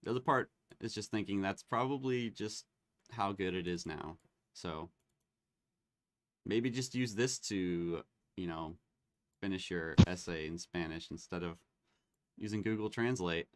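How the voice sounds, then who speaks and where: A man hosting a live online demo, chatting casually and getting excited as he tests tools. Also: sits low, unhurried, long pauses, no room sound. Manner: excitable, laughs and exclaims while testing tools.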